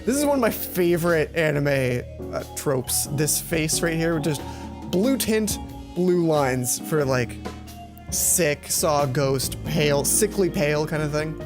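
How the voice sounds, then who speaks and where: Lively let's play host, very varied pitch, reading a visual novel with asides, game music underneath. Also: measured pace. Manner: animated, breaks off to comment on own reading.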